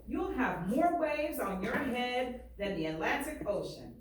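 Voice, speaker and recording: A woman's voice: a little high, very animated, measured pace. A woman teacher reading aloud to a class, speaking emphatically and often checking in with her listeners. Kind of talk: expressive classroom read-aloud with questions to pupils. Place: echoey classroom, mic at a distance. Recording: roomy echo, distant mic, faint noise.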